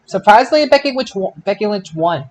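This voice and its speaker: A podcast host recapping wrestling results casually, in a halting way that often repeats phrases. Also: medium pitch, expressive. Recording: noisy amateur podcast audio.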